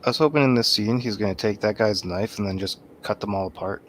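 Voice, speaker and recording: Lowish man's voice, slight background noise. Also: fast. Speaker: film review panelist. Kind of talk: casual chat.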